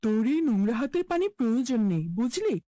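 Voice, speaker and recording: A woman voicing a cruel, scolding middle-aged mother in a cartoon fairy tale, speaking with sharp contempt. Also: fairly high pitch, sing-song, fairly quick, dry recording. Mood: contemptuous.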